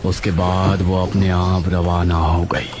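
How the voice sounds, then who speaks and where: A man's voice: sits low, level tone, slow, noisy background. A man narrating a scripted story in a dramatic storytelling style.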